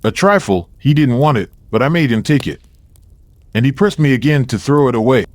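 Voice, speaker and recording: A credulous old father played by a man in a dramatised audio story. He is sheepish and excitable, with a hesitant manner and a very lively swing in his voice, recorded dry and close. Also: medium pitch, a little fast, no room sound, natural voice. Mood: sheepish, doubtful.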